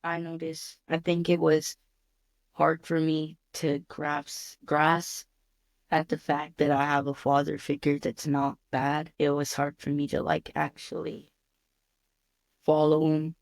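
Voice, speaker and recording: A man's voice: fairly high pitch, monotone, slow pace, gravelly, no room sound. A teenage boy talking about his stepfather, answering briefly and hesitantly. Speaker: teenage son.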